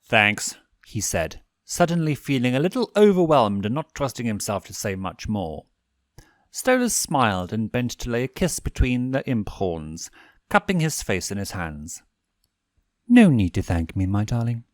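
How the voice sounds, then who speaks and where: A man narrating a scripted story in an expressive audiobook style. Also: medium pitch, moderate pace, no echo, clean studio sound. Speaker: fan fiction reader and narrator.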